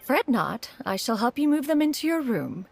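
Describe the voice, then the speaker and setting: A woman's voice: high-pitched, sing-song, normal speed, creaky, heavily acted. A woman playing a proud, dignified mentor in an anime game, speaking in a formal, stately way. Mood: gracious, proud. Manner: formal, stately, archaic phrasing. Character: dignified, proud immortal woman mentor, haughty yet caring.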